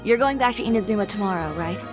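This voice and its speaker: Casting: woman as a poised fashion designer, confident and dry, mid-pitched voice, quietly in charge. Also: expressive, fast, over music, lightly acted. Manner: cool, confident, dryly teasing. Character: composed, self-assured female fashion designer.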